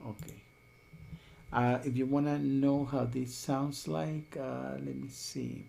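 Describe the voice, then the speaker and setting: Man, tutorial host, very gravelly, dark mellow tone, thinks aloud step by step. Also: medium pitch, flat delivery, slow. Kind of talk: screen-recorded tutorial.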